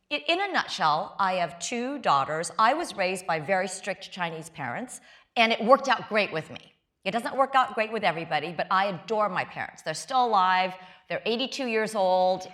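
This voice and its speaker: A middle-aged American woman from the Midwest talks candidly on stage. Her voice sits at an ordinary pitch for a woman, and she often restarts sentences with self-deprecating asides. The recording is a live talk in front of an audience. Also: fairly bright tone. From United States, Midwest. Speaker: guest author and law professor. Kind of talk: conversational interview on stage.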